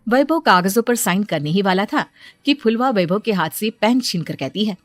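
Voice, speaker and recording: A woman narrates a cartoon moral tale as storyteller. Her voice sits at a middle pitch, with light music running underneath the scripted reading. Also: expressive, moderate pace, dry recording.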